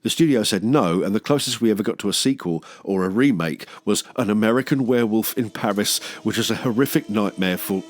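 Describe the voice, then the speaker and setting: A man's voice: fairly low pitch, a little fast, hardly any pauses. A man hosting a film review show, reading scripted narration with jokey, theatrical asides.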